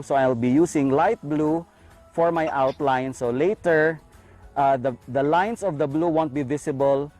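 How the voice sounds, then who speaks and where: A man's voice: a little high, a little mellow. A Filipino man giving an instructional demonstration as an art teacher, explaining each step.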